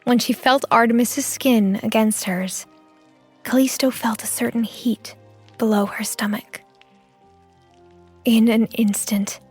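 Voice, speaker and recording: A woman reads scripted fiction as a sensual storyteller, breathy and often close to a whisper. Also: fairly high pitch. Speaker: audio drama narrator.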